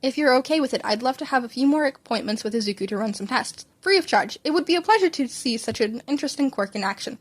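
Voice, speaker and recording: A woman's voice: sits high, expressive, quick pace, hardly any pauses. A woman voicing an enthusiastic, slightly shy doctor who rambles through explanations and apologizes for it. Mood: shy, apologetic.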